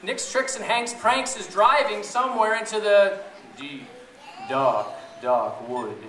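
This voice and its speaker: A man reading a picture book aloud to a group of children in a playful, chatty way, pausing to ask them questions. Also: high-pitched, animated, crowd noise. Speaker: storybook reader to children. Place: echoey room with an audience of families. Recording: roomy, distant event recording with audience noise.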